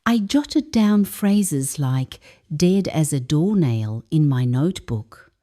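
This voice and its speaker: A woman, an English teacher, calmly reading a first-person story aloud as scripted narration. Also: deep voice, slow pace.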